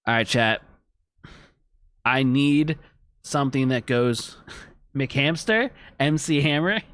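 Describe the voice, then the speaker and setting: Man, game streamer, casual running commentary to viewers, mid pitch, dry close mic. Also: slow pace, fairly bright tone, dry recording. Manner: thinks aloud, chats to viewers, reads on-screen text. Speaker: gaming streamer. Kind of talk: casual let's-play commentary.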